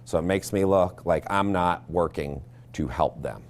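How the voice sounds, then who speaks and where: Very deep-voiced American male teacher. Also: measured pace, darkish tone, a little hiss or hum. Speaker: seventh grade history teacher. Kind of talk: plain-spoken interview talk.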